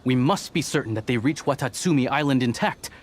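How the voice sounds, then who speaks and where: A man's voice: mid-range voice, animated, brisk, a little hiss or hum, lightly acted. A man voicing a male merchant in a video game who speaks respectfully and a little flustered. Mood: deferential.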